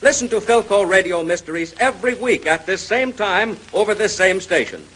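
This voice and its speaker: A male radio announcer in 1930s style, giving an emphatic contest sales pitch. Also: lively intonation, fairly quick, a bit bright, husky. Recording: noisy vintage radio recording.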